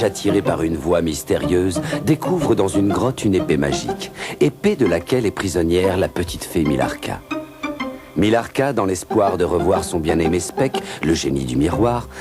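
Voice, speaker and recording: A man's voice: low voice, sing-song, moderate pace. A man narrating a cartoon recap in a dramatic, questioning tone. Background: music.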